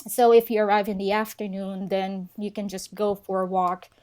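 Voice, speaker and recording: Fields:. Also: female voice, medium pitch, level tone, moderate pace, a little mellow. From Philippines. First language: Filipino. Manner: laughs often, friendly and chatty, mixes in Norwegian words. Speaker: guest, local travel expert. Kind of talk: casual interview chat.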